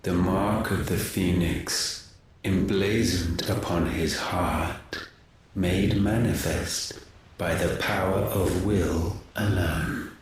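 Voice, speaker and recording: Echoing, deep, godlike villain. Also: male voice, steady tone. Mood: awed, brooding. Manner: grave, measured, archaic pronouncements. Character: ancient godlike male being, cold and haughty. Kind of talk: theatrical video game villain acting. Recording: heavy echo with background music.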